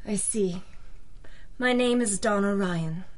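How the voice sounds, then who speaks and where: A woman's voice: medium pitch, takes it slowly, natural voice. A woman playing an anxious, genteel rich wife, hesitant and formal, in an old-time radio detective drama. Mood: uneasy.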